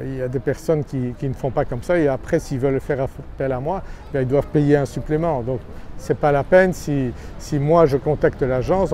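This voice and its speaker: A man's voice: medium pitch, warm tone, slight background noise. A man from Brussels, talking to camera outdoors in a seaside town as a property finder, hesitant with many filler sounds and friendly in his persuading. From Brussels, Belgium. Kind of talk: casual vlog pitch to camera.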